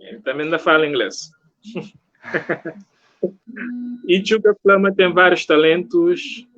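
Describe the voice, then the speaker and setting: A jovial man with a Cape Verdean accent hosts a casual live stream. His voice is on the high side, and the audio is a little dull, as on a video call. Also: takes it slowly, a bit bright. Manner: jovial, reads out viewer comments. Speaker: interviewer, host.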